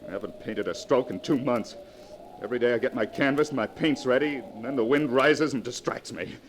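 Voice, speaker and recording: An actor playing a tense, brooding painter husband on edge in a 1940s radio drama. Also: male voice, mid-range voice, a little fast, lightly acted. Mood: tormented. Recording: noisy vintage 1940s radio recording.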